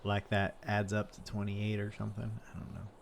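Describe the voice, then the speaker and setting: Guy doing a low-voiced rambly awkward dude, stumbles and repeats himself, faint hiss behind. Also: monotone, brisk, creaky. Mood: easygoing. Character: awkward, rambling man, easygoing and vague. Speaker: roleplay character. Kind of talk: improvised roleplay chat.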